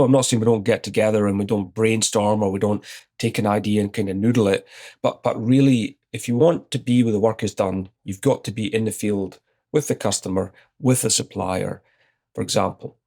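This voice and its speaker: A man's voice: on the low side, fairly quick, gravelly. A man in his fifties with a Scottish accent, an executive guest relaxedly telling an anecdote in an interview. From Scotland. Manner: relaxed anecdotal, retells his own exchanges. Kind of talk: conversational interview storytelling.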